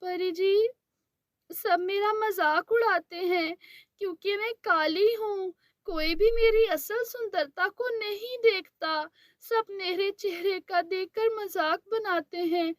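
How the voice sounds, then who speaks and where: A woman's voice: very high-pitched, slow pace, dry recording, clean studio sound, pitched above the performer's own voice. A woman voicing a kind, tearful young girl in a cartoon story, pleading between sobs. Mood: sad. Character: sobbing kind dark-skinned young girl.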